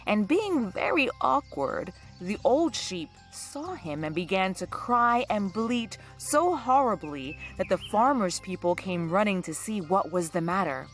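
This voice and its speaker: A woman's voice: mid-range voice, very animated, unhurried, hardly any pauses, soft background music. A woman hosting a storytelling podcast, reading scripted narration in a true-crime style.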